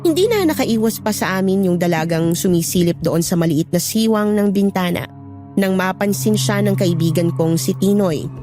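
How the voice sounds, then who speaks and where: A woman's voice: mid-range voice, moderate pace, over music, natural voice. A woman reading scripted first-person horror narration as a storyteller.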